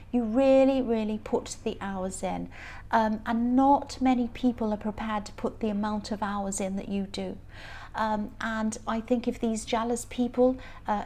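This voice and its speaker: A woman with a croaky voice giving a casual, rambling tarot reading, often laughing as she talks. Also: fairly high pitch, dark tone. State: croaky voice from stress. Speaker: tarot reader.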